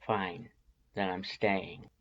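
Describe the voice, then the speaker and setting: A man voicing a protective skeleton monster with a coldly calm, casual menace in a story reading. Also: sits low, very slow, highs cut off. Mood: cold, resolved.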